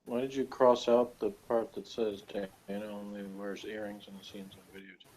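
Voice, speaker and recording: A man's voice: lowish voice, steady tone, a little fast, darkish tone, a little hiss or hum. A man in casual conversation, talking in an offhand, sardonic way. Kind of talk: casual chat. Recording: dull lo-fi recording.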